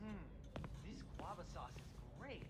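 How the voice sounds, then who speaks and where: A man's voice: sits high, sing-song, moderate pace, very noisy. A man playing a chatty, awkward, good-natured teenage best friend in a video game, eager in manner. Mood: flustered. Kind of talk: comic video game cutscene acting.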